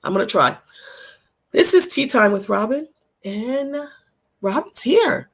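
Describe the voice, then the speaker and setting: A co-host in a casual sports chat with a hoarse, nearly gone voice, giving blunt, emphatic opinions. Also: mid-range voice, very animated, a little slow, a bit bright.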